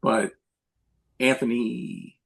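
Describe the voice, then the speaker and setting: A man's voice: medium pitch, flat delivery, extremely slow, vocal fry, fairly bright tone. A man speaking informally as a film producer in a casual Q&A, piecing his memories together aloud. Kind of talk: casual question-and-answer chat.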